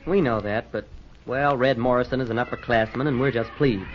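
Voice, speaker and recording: Friendly sensible schoolboy cadet acted by a man, fast talk, easygoing, old radio serial, muffled with faint noise. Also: mid-range voice, close to an everyday voice. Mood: cautioning. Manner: easygoing, reassuring. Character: friendly, sensible teenage boy cadet, helpful roommate.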